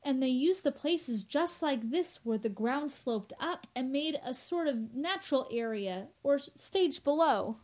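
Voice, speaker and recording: Actress as a sensible young woman, high, unhurried. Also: lively intonation, darkish tone. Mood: cheerful, explanatory. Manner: explanatory, reasonable, theatrical. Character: sensible, well-informed, steady young woman roommate. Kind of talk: old-time radio drama acting.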